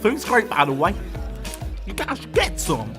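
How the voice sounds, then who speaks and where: An actor playing a jolly, blunt pig drummer in an animal audio story, casual and playful. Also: male voice, high voice, wildly swooping pitch, unhurried, music in the background, full character voice. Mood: cheerful.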